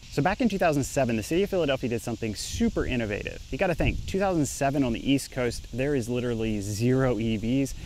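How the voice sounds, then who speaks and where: A man's voice: mid-range voice, darkish tone, a little hiss or hum, rough recording. A young man, an engineer, casually explaining to camera while standing outdoors on a residential street. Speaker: engineer and startup founder.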